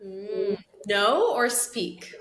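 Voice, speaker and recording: A woman chatting as a guest in an interview over a video call from a small room at home, relaxed and playful, laughing easily. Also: medium pitch, drawn-out words. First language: English. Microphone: video call with laptop mic. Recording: echoey room with faint noise.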